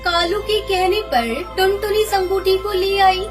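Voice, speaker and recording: Female narrator, very high voice, scripted children's fable read over background music. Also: measured pace.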